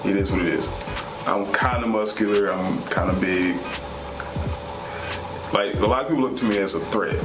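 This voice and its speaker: A young man with a low voice speaks earnestly to his viewers. He talks casually and circles back over his words. The room is echoey, with clear noise behind him. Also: moderate pace, pauses often. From United States. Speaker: vlog co-host. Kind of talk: casual sincere talk to viewers.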